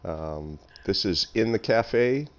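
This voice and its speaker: A man hosting a casual interview, effusive and quick to giggle, with many ums. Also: on the low side, lively intonation. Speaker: podcast host.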